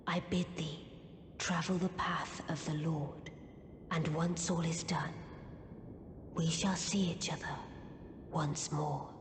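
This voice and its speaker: A woman playing a composed, regal witch in a fantasy game, speaking softly and formally in archaic English. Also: sits low, steady tone, takes it slowly, pauses often. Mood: serene, solemn. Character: regal, composed otherworldly witch, female, quietly proud. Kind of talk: theatrical fantasy game acting. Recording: echoing long reverb, faint ambient noise.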